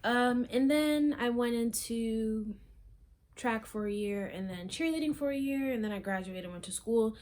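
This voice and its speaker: A young woman from South Florida chatting casually to camera as a fitness vlogger, rambling with frequent ums and soft trailing asides. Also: a little high, moderate pace. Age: young adult. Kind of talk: casual chat and workout instruction. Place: small room, plain wall, indoors.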